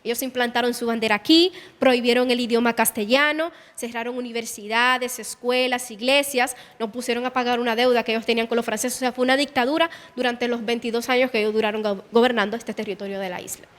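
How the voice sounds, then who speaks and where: Woman guide, high voice, hall. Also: steady tone, fast, few pauses. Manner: explanatory, narrates history with quoted dialogue. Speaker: museum guide. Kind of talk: guided tour explanation. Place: indoor exhibition hall.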